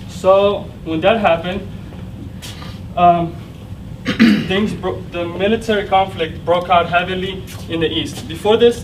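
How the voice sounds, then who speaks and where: Ukrainian-accented young man presenting at a distance. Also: high-pitched. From central Ukraine. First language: Russian. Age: young adult. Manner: explanatory, with frequent fillers and restarts. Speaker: student presenter. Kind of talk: classroom presentation with slides. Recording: distant mic with clear background noise.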